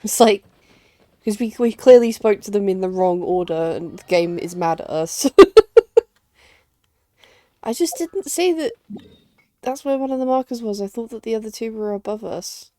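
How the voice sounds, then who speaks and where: A woman's voice: medium pitch, normal speed, long pauses, dark tone, no echo. A woman giving casual, offhand live commentary as a video game streamer. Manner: offhand, informal.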